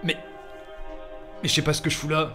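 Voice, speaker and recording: Male actor as jaded set decorator, high-pitched, sardonic, radio play monologue, long reverb, faint music underneath. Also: monotone, fairly quick, natural voice. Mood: weary, disillusioned. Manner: sardonic, repetitive, theatrical. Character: bitter, weary film set decorator venting in monologue.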